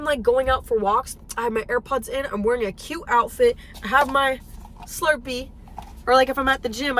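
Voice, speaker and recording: A woman's voice: high voice, fairly bright tone, poor audio. Chatty young woman, emphatic and blunt. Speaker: fitness vlogger. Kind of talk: casual vlog chat while driving. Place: in a car. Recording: faint car noise behind.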